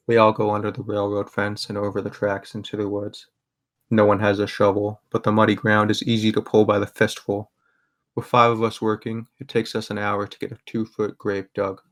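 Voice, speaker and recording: A man narrating a first-person audio drama in a measured, understated way. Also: fairly low pitch.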